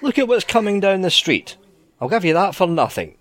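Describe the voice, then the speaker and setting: A man's voice: sits high, sing-song, fairly quick, a bit bright, voice pushed up. Man as Davy Jones, swooping sly sea spirit. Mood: coaxing. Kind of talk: storybook character voice in sea yarn.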